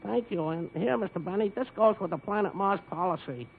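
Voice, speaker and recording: A man's voice: high voice, brisk, gravelly. A man playing an eager, simple-minded insurance salesman in a 1950s radio comedy. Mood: eager, earnest. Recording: tinny old radio recording with hiss.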